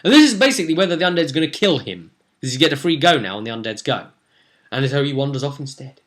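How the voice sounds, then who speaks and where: A man's voice: medium pitch, lively intonation, quick pace, a bit bright. Dramatic young storyteller man. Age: young adult. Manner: rambling, dramatic storyteller, gesturing. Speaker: vlogger and game master. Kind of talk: animated casual recap of a tabletop role-play session. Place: small room at home, indoors.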